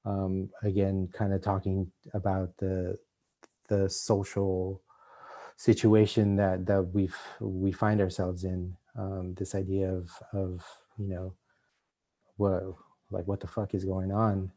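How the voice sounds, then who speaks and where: A middle-aged man raised in Los Angeles giving an art lecture, halting with many restarts and fillers. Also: deep voice, completely flat delivery, dark tone. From Mexico, raised in Los Angeles. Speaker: visiting artist lecturer.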